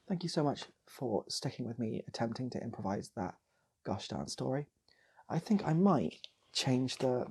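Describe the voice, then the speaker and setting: A man's voice: medium pitch, creaky, warm tone, slightly rough. A man with a British accent telling a casual improvised comic story as a channel host, rambling and repeating his phrases.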